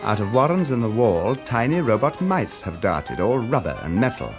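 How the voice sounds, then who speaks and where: A man narrating a scripted audio drama in a measured, hushed storytelling manner. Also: mid-range voice, big pitch swings. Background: music throughout.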